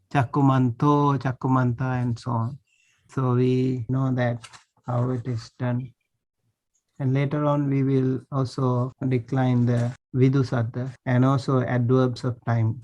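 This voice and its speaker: A man's voice: medium pitch, poor audio. A man, a monk, teaching a language class over a video call, patiently checking that students follow. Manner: patient, checks understanding often.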